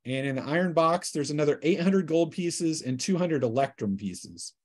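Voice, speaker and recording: A man working as game master, narrating a tabletop role-playing session in a casual, improvised, halting way. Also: on the high side, animated.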